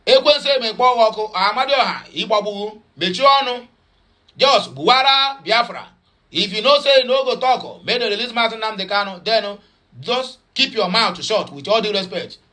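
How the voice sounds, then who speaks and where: High, bright-voiced Nigerian man ranting. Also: animated, normal speed. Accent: Nigerian Pidgin. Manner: chatty, mocking, switches between Pidgin, English and Igbo; rambling with many fillers and repeats. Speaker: news commentator. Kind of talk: casual news commentary.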